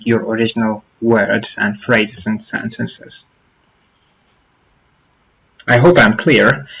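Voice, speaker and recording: A man's voice: medium pitch, fairly bright tone, rough recording. Earnest Arabic-native man giving advice. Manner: earnest and advisory, stresses points by repeating them; deliberate, with frequent restarts and uh fillers. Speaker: YouTube channel host giving application advice. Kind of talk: informal spoken tutorial to camera.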